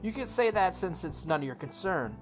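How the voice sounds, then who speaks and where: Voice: mid-range voice, sing-song, a little fast, pitched above the performer's own voice, natural voice. Someone voicing a polite, guarded schoolgirl. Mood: reserved, dry. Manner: formal, courteous. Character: polite, guarded teenage honor-student girl. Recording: music under the voice.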